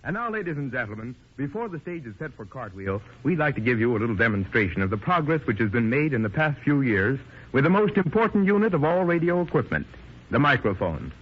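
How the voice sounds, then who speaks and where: A man's voice: medium pitch, very animated, fast, slightly rough. A man presenting a radio demonstration in a formal, showman-like 1930s announcing style. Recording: dull lo-fi 1930s radio recording.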